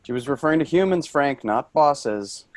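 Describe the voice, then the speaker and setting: A man plays an indignant office worker in a dark stage comedy. His voice is high and very animated, sarcastic in protest yet soft-hearted. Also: normal speed, lightly acted.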